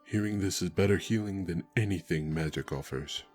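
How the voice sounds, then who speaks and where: A man voicing a calm, wise lion-man in a fantasy audio drama, speaking in measured sayings. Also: sits low, faint music behind. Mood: calm, gentle. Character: calm, wise adult male leonin, a humanoid lion.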